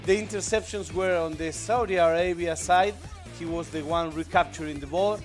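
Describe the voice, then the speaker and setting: A man from Argentina with an Argentine Spanish accent, co-commentating live esports in halting, enthusiastic English. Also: a little high, faint music behind.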